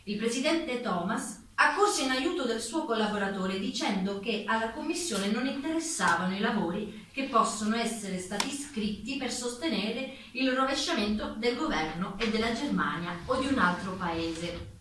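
A woman's voice: on the high side, animated, moderate pace, natural voice. A woman narrating a scripted theatrical documentary in a formal, articulate storytelling manner. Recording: echoey room, distant mic, faint noise.

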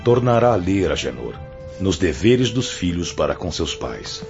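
A Brazilian actor playing a stern, solemn spirit teacher with an air of authority in a radio drama. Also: male voice, deep voice, very animated, a little slow, exaggerated voice. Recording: dull lo-fi audio under loud music.

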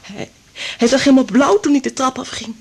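Defiant girlfriend, radio drama: upset, stubborn woman, raspy edge, slightly high pitch. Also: moderate pace, full character voice. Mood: shaken. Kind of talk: naturalistic radio drama acting.

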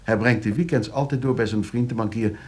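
An actor in a radio play playing a smug, cold-blooded schemer who explains things calmly and tauntingly to friends. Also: male voice, mid-range voice, brisk, lightly acted. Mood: self-assured.

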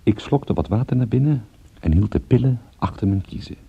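Deep, gravelly detective narrator. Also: male voice, expressive, fairly quick, dry recording, lo-fi sound, heavily acted. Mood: wry, suspenseful. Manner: dry, intimate, storytelling. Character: wry male private detective narrating his own story. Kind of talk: first-person radio drama narration.

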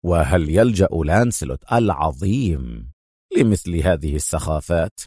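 A man voicing a cold, mocking, stern male secret agent in an audio story, speaking with unhurried, sardonic menace. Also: very deep voice, dark tone, no echo, studio quality, voice pushed down. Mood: calm, sardonic.

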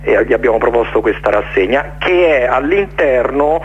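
A man, speaking casually as an interview guest in a halting, rambling way with repeated words. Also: mid-range voice, expressive, slightly rough. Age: late 40s. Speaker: interview guest, jazz orchestra conductor. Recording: dull, noisy radio recording, hum.

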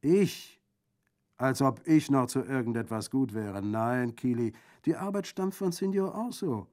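A man's voice: medium pitch, lively intonation, unhurried, darkish tone, pitched above the performer's own voice, close to an everyday voice. A man voicing a boisterous Corsican bandit in an audiobook, jovial and exclamatory, with a gleefully mocking, gruesome humour. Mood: urging, wry. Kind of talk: audiobook character acting.

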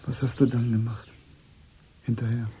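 An actor playing a calm confidant who puts quiet, probing questions, in a German radio drama. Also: male voice, mid-range voice, moderate pace, slight background noise, natural voice.

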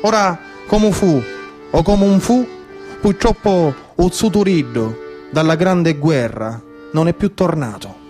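Male stage storyteller, thirties, Sicilian accent, high voice, declamatory folk cadence, live instruments playing behind. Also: slow pace, darkish tone. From Sicily, Italy. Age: 30s. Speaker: actor-storyteller. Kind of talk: theatrical narrative monologue mixing dialect and Italian. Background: live instrumental music.

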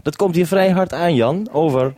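A man's voice: on the high side, moderate pace, dark tone. A man talking over a two-way radio link as a programme maker, hesitant and considerate, handing over as he goes. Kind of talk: two-way radio conversation with handovers.

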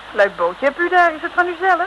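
A woman's voice: extremely high pitch, sing-song, normal speed, a bit bright, heavily acted. A girlish, anxious radio-serial sidekick. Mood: friendly. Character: plucky, anxious young female sidekick of a detective. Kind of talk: 1950s-60s Dutch radio serial acting. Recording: noisy old radio serial recording.

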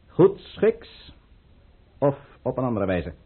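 A husky aristocratic conspirator in an old, narrow-band radio drama. He gives orders in solemn, formal oratory with a very mobile pitch, cool and calculating. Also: big pitch swings, slow, heavily acted. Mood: solemn, commanding. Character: commanding, calculating aristocratic count leading a conspiracy. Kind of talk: Dutch radio play acting. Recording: thin, phone-like old radio recording.

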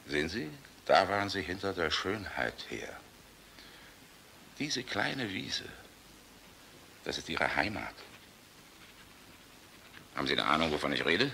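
An old army general in a German radio drama, gruff and fatherly. The voice is low and sharply forceful, swinging widely from blustering outbursts to hushed musing. He argues rhetorically, with passion and weariness. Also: sing-song, unhurried, pauses often, slight background noise, exaggerated voice. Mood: passionate, fatherly. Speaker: actor in a radio play.

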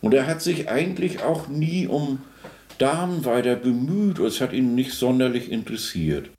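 A man giving spontaneous, searching commentary on a composer, halting and often checking in with a questioning tag. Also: medium pitch, expressive, a little mellow, a little hiss or hum. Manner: halting, searching, tags remarks with a questioning particle. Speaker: commentator on a composer's life and work. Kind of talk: spontaneous musing commentary in a radio play.

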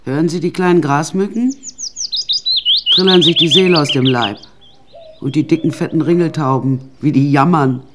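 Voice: medium pitch, normal speed, long pauses, very noisy, close to an everyday voice. An actor playing an imperious, eccentric older woman painter in a radio drama, haughty and theatrical, addressing the listeners directly. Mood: chatty, affected.

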